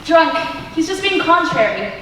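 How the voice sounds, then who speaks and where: An earnest, sentimental young patriot acted by a woman speaks on stage in a high voice. The recording is noisy and distant, with strong auditorium echo. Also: very animated, moderate pace, a bit bright. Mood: challenging. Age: young adult. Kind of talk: high school stage play acting. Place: large school auditorium stage. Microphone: far camera.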